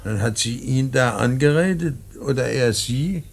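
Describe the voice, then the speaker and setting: A man's voice: mid-range voice, moderate pace, creaky, slightly rough. A man playing a grave, brooding older professor in a radio drama, giving terse orders and questions. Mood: probing. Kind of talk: old German radio drama acting.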